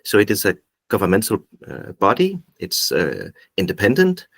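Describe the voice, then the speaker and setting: A man with a Danish accent giving an informal presentation on a video call in a halting, pause-filled way. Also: lowish voice, huge pitch swings, vocal fry, a bit bright, no echo, studio quality. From Denmark. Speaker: invited speaker, activist group founder. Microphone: video call microphone.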